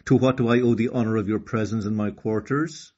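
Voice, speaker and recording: Man playing a distinguished, husky storybook turtle. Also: fairly low pitch, fairly even intonation, fairly quick, warm tone. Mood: courteous. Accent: Irish. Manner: formal, distinguished. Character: distinguished, courteous, cautious male turtle. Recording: slightly dull lo-fi recording.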